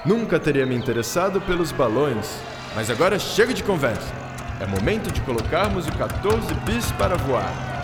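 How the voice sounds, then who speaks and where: A man's voice: on the high side, sing-song, normal speed. A man playing a courteous, proud Brazilian inventor-aviator in a radio drama, explaining science theatrically with French words sprinkled in. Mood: courteous, commanding. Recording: roomy reverberant sound with music behind.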